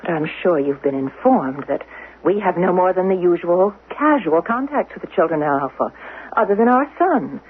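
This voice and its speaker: Husky, fairly high defensive wife, sardonic, indignant, thin phone-like 1970s radio play recording. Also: female voice, expressive, moderate pace. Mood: guarded. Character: guarded, indignant wife and mother in future society. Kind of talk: 1970s radio drama acting.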